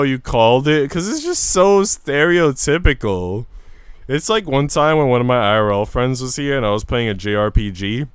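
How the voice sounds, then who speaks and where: A man's voice: fairly low pitch, clean tone, dry recording. A young man, rambling informally as he livestreams a visual novel, switching between slangy chat and reading aloud.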